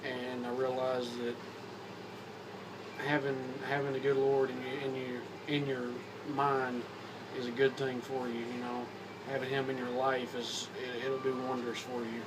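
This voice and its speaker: Young Kentucky guy, Southern accent, slightly hoarse, flat delivery, halting casual vlog talk, distant mic with background hum. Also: mid-range voice. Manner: rambling, halting with repeats and fillers. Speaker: vlogger. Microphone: phone or camera mic.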